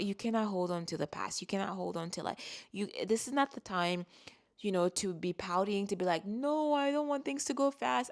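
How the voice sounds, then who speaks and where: Rambling woman, native French speaker. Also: medium pitch. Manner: rambling, repeats words, restarts often. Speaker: astrologer and spiritual coach. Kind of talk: casual spiritual talk to camera.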